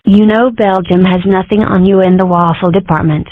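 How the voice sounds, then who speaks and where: A woman's voice: mid-range voice, fairly even intonation, moderate pace, dry recording, close to an everyday voice. A woman voicing a mischievous, dramatic young wolf girl in a comic dub, sarcastic and declamatory. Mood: teasing. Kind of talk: amateur comic strip dub. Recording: muffled, phone-like lo-fi audio.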